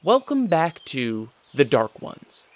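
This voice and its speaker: A man's voice: fairly high pitch, huge pitch swings, slow. A man giving dramatic scripted narration for a radio adventure story. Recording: music behind the voice.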